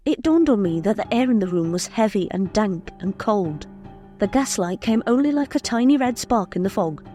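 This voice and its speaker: A woman's voice: a little high, warm tone, natural voice. An actress playing an uneasy young wife, narrating her journal with careful suspense in a radio play. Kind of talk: dramatic first-person narration, radio play.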